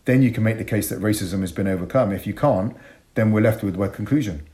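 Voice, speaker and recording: A man in his forties with an English accent and a fairly low voice. He speaks as an interview guest, articulate and emphatic, building reflective arguments and repeating words to drive a point home. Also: fast talker, dark tone. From Bristol, England. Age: 40s.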